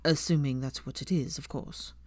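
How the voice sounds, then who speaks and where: A woman's voice: very deep voice, fairly even intonation, normal speed, darkish tone, no echo. A woman voicing a smirking, dryly polite young man in a story reading. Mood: teasing.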